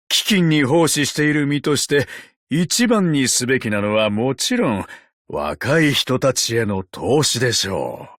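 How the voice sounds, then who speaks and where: A man voicing a courteous, wry, ancient undead detective in a tin exoskeleton for a game, with polite, gentlemanly phrasing. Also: medium pitch, no echo, clean studio sound. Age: older. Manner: polite, unhurried, sardonic gentlemanly speech. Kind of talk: Japanese game character voice lines.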